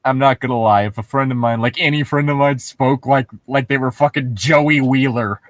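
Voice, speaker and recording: A young man with a mid-pitched voice chats as a podcast co-host. He rambles in a loose, giggly, sweary way. The sound is dry, with no room to it. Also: steady tone, normal speed, dry recording. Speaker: podcast co-host reading and riffing on horror stories. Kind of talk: casual joking chat with bits of reading aloud.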